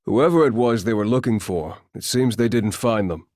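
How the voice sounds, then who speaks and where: An actor playing a composed military advisor in a video game, speaking in a measured, formal way. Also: male voice, on the low side, a little mellow, no echo, studio quality, close to an everyday voice. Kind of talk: video game cutscene acting.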